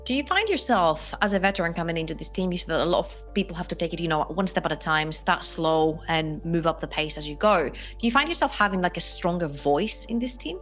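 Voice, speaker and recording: A woman's voice: on the low side, expressive, a little fast, few pauses, a bit bright, soft background music. A young woman casting esports from home, giving emphatic and opinionated analysis. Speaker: esports caster. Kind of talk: casual analysis chat. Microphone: desk mic on a webcam call.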